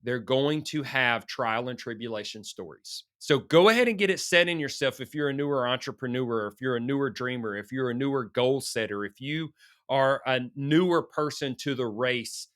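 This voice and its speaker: A middle-aged man, hoarse from a sinus infection, hosting a motivational business podcast in an earnest coaching manner. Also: medium pitch, moderate pace, a bit bright, no room sound, clean studio sound. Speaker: podcast host.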